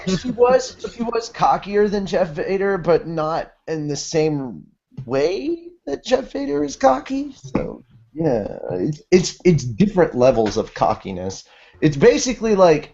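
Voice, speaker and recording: A man's voice: on the high side, sing-song, a little slow, a little mellow. A man co-hosting a podcast in casual banter, rambling and repeating phrases for emphasis. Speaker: podcast co-host. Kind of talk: casual banter about anime. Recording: dull lo-fi audio.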